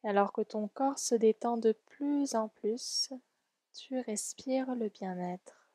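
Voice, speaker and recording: A woman leading a guided relaxation meditation in a soft, soothing, gentle and unhurried way. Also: a little high, no echo. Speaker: yoga nidra guide.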